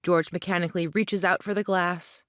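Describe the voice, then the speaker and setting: A woman's voice: fairly low pitch, steady tone, moderate pace, a bit bright, no echo, clean studio sound. A woman narrator reading scripted stage directions for a play.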